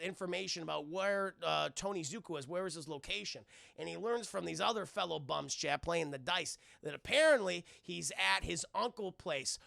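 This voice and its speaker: A man hosting a live stream, giving a lively, casual, swear-laden recap of a show to his chat. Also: sits high, gravelly, no echo, clean studio sound. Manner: animated, profane, acts out dialogue casually.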